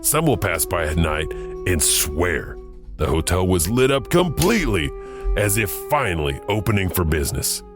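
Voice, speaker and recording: A man narrating urban legends in a measured, ominous manner. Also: sits low, very animated, over music. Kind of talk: scripted horror narration.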